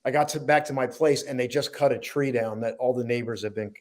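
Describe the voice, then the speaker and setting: A man hosting an informal live show, speaking in a halting, exhorting way and often repeating himself. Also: mid-range voice. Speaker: host.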